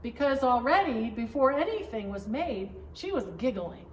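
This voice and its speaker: A woman voicing a gentle, playful father telling a story to his daughter, as a picture-book read-aloud. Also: on the high side, very animated, moderate pace. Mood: playful, patient. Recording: roomy, distant mic, faint background noise.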